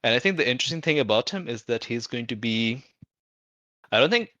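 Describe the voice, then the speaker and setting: A man talking casually and informally about a tabletop roleplaying game, feeling out his thoughts haltingly as a player. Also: mid-range voice, animated, sharp tone, no echo, dull audio. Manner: halting, thinking aloud, informal with swearing. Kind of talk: casual out-of-character game discussion.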